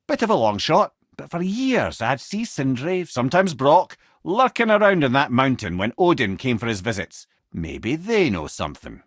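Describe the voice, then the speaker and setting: An actor playing a witty, learned old storyteller and guide in a video game, with a Scottish accent and a wry, erudite, theatrical way of talking. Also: male voice, mid-range voice, dry recording, clean studio sound. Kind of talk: video game character voice lines, storytelling and banter.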